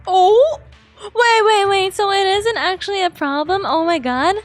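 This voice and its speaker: A woman's voice: very high-pitched, a little slow, soft background music. A woman game streamer giving chatty, exclamatory live reactions in a casual style.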